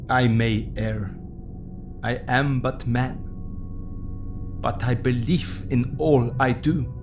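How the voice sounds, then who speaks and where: A slow, gravelly old professor. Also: male voice, fairly high pitch, exaggerated voice. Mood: tender, solemn. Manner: deliberate, earnest, quaint non-native phrasing. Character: solemn, tender older male foreign professor and surgeon. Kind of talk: dramatic audio drama acting.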